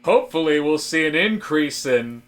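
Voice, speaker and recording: A man playing a polite, bland office worker in a deadpan comic audio drama, speaking hesitantly. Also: a little high, voice pushed up. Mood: stiffly cheerful. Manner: hesitant, halting, with many fillers. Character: George, polite, bland office man turning earnestly philosophical.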